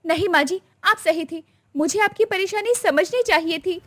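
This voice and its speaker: A woman's voice: very high-pitched, normal speed, a bit bright, voice pushed up. A woman voicing a young, modern daughter-in-law who is earnest, outspoken and caring.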